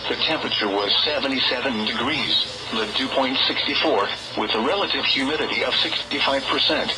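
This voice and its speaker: A man's synthetic voice reads a scripted weather bulletin in a formal, measured way over a weather radio broadcast. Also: medium pitch, rough recording. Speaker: automated weather radio announcer.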